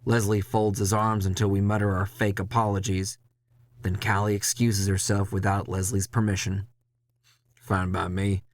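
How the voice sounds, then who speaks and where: A low-pitched man delivers scripted first-person noir narration. His storytelling is wry, gritty and world-weary, recorded close and very dry. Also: level tone, moderate pace, no echo. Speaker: narrator of an audio drama.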